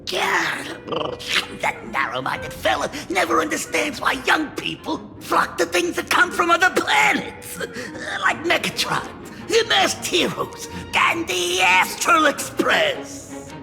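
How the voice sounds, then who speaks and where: A sly, aging gang boss from a video game, boastful and theatrical. The voice is high and swoops wildly between mutters and exclamations. It rings with long reverb over music. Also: wildly swooping pitch, slow pace, gravelly, heavily acted. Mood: jovial, sly.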